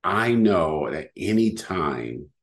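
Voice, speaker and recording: A middle-aged man with a notably deep voice talks casually from his living room, his pitch swinging up and down with animation. He rambles a little, piling on fillers as he chats like a podcast host. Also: takes it slowly. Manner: rambling, lively, full of fillers. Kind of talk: casual chat.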